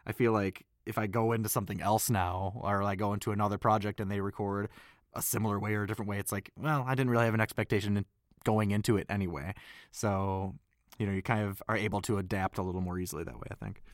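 Low-voiced man, dry and self-deprecating. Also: level tone, fast, dry recording. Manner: thoughtful, self-deprecating, laughs easily. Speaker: voice actor. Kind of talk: casual remote chat.

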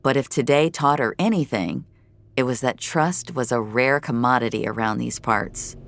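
A woman's voice: a bass voice, normal speed, no room sound. A woman narrating scripted audio fiction as a storyteller.

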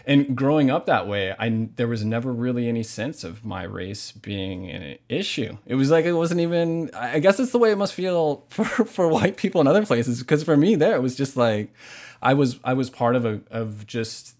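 A man's voice: mid-range voice, lively intonation, moderate pace, darkish tone. An American man from Hawaii giving earnest, reflective interview answers in conversation, searching for his words as he goes. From Hawaii, United States. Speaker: podcast guest, novelist.

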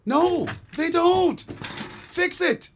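An actor playing an irascible Broadway producer in an audio comedy, blunt and scornful. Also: male voice, extremely high pitch, very slow, fairly bright tone, heavily acted. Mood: angry. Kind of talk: audio comedy drama acting.

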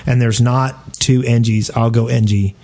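A man's voice: medium pitch, steady tone, unhurried, dark tone. A man, a podcast host probably in his thirties, chats casually in a rambling, self-deprecating way about games and his own life. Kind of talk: casual chat about gaming and personal life.

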